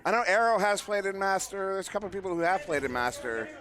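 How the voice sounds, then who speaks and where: A man casting a live esports match, joking at his own expense and talking with many fillers, his voice worn and going hoarse. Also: sits high, animated, fast talker, fairly bright tone. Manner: joking, self-deprecating, rambling with fillers. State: losing his voice. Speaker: esports caster. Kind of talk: live play-by-play commentary. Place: live event venue.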